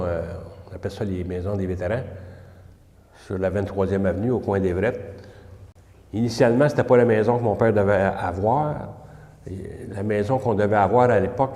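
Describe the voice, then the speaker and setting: An older man with a Quebec French accent, recalling his childhood in a relaxed, hesitant way. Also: on the low side. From Quebec, Canada. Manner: relaxed, hesitant, many pauses. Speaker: interviewee. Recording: echoey room with long reverb.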